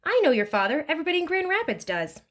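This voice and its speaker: A woman's voice: high-pitched, sing-song, racing, fairly bright tone, voice pushed up. A woman voicing a teasing folksy old man. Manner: joking, folksy, talkative. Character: teasing kindly older male driver.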